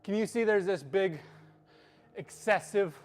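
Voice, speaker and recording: A man's voice: high-pitched, measured pace. A man hosting a live workout stream, chatting with viewers between lifts in a joking, hyped-up coaching way. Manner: hyped, joking, bro-style coaching talk.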